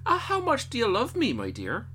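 A man's voice: very high-pitched, wildly swooping pitch, normal speed, pushed and bright, slight background noise, pitched above the performer's own voice, full character voice. A man voicing a rich, needy, hot-tempered father in a storybook, theatrical and exclaiming. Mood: fond, probing.

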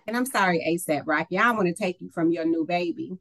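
Woman podcast co-host, lowish voice, playful and joking, dry close mic. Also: fast, fairly bright tone, dry recording. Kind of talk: conversational podcast chat.

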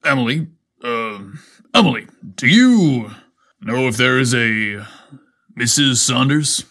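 A flustered, lovestruck fire captain acted by a man reading a story. He speaks haltingly and stammers, at a medium male pitch. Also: lively intonation, a bit bright.

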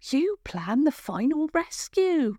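A woman voicing a brisk, confident young village girl in a children's story, matter-of-fact and a little bossy. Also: high-pitched, big pitch swings, dark tone, no room sound, studio quality, voice pushed up, full character voice.

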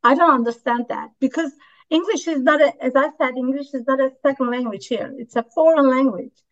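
A woman's voice: high-pitched, brisk, muffled recording. A woman English teacher from Turkey with a Turkish accent, answering interview questions in a hesitant way full of fillers and restarts.

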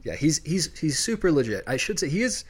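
Loud, rambling man co-hosting a podcast. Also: on the high side, big pitch swings, slightly rough. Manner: loud, rambling, quick restarts. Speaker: podcast co-host. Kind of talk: casual podcast chat.

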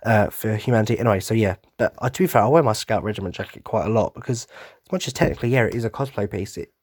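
A man hosting a casual fan podcast, tired and losing his voice, husky, rambling with lots of ums and restarts. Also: lowish voice, rapid-fire, no room sound, studio quality. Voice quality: husky, throat-clearing. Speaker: podcast host.

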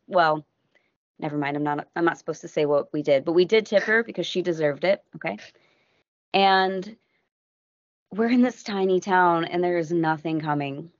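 An American woman, a podcast guest, telling a travel story in a casual, animated way that keeps breaking off and acting out what people said. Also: deep voice, no room sound. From United States. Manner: animated, self-interrupting, acts out quotes. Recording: dull lo-fi audio.

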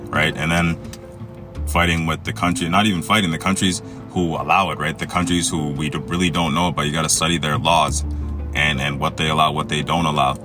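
A man from Egypt hosting a solo podcast, reading a text aloud and commenting on it in a halting way with many fillers and restarts. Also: very deep voice, steady tone. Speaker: podcast host. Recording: music playing underneath.

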